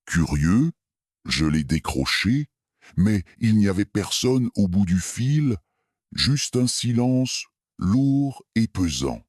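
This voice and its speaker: A man narrating a scripted first-person scary story in a measured, suspenseful way. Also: on the low side, very animated, a little mellow, no room sound, studio quality.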